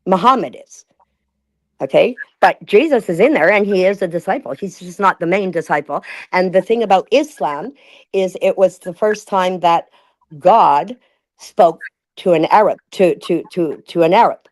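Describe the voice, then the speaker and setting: A woman in a casual livestream discussion, thinking aloud with many restarts and reading passages out slowly. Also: fairly low pitch.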